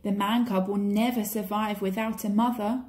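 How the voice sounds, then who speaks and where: A woman's voice: mid-range voice, fairly even intonation, normal speed, a little mellow, natural voice. A woman voicing a kindly, protective male panther from a storybook in an earnest, guiding manner. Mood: caring.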